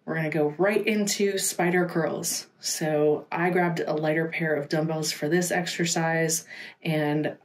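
Woman fitness coach talking thru exercises step by step, kinda low voice, casual. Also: fairly even intonation, a little slow. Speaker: fitness instructor. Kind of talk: instructional workout voice-over.

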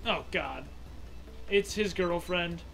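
A man with a bright, forward voice chats casually through a video game. He is talkative and jokey, and game music plays behind him. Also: high-pitched, slow pace, gravelly. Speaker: gaming co-commentator. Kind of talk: casual let's play commentary.

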